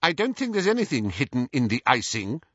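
A man voicing a stern, suspicious older government minister in an audiobook, with measured, insistent questioning. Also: mid-range voice, huge pitch swings, fairly bright tone, slightly rough, no echo, dull audio, pitched above the performer's own voice, exaggerated voice. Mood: probing, suspicious. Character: stern, probing older male government minister interrogating youngsters. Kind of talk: audiobook character voice.

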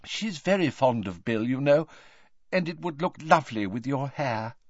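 A weepy, stammering middle-aged mother, as a man reads her part. Her voice rides high and swings widely, breaking into halting restarts. It sounds dry and a little dull. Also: expressive, a bit bright, no room sound, heavily acted. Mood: conciliatory. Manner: halting, stammering through tears.

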